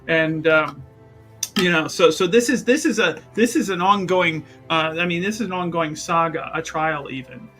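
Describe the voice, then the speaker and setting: A man playing a tabletop roleplaying game, improvising story narration in a halting, rambling way and often repeating words. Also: a little high, fairly bright tone, faint music behind.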